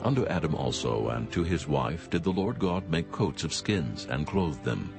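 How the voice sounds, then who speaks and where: A man narrating scripture in a formal, measured and solemn scripted style. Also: a bass voice, very animated, gravelly, over music.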